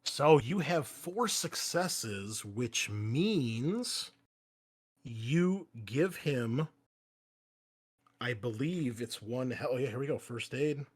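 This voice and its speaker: A man with a mid-pitched voice runs a tabletop roleplaying game. He moves casually between explaining rules and narrating scenes, and is comfortable swearing as he goes. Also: expressive, drawn-out words, breathy edge. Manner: casual, profane. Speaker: game master.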